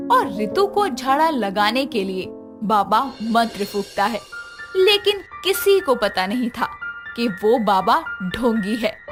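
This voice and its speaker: A woman narrating a scripted horror story as a storyteller, with music behind her. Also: a little high.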